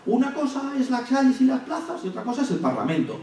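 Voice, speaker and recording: A man giving a public lecture, measured and thinking aloud with frequent restarts and hesitations. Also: extremely high pitch, very animated, mellow. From Spain. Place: lecture hall. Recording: echoey hall, from far off, faint hiss.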